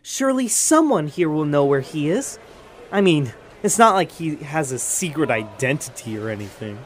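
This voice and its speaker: An actor voicing an excitable, awestruck boy who talks aloud to himself in a scripted audio drama. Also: mid-range voice, normal speed, a little hiss or hum, natural voice. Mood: worried. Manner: exclamatory, talking aloud to himself. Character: excitable, awestruck boy, comic book fan.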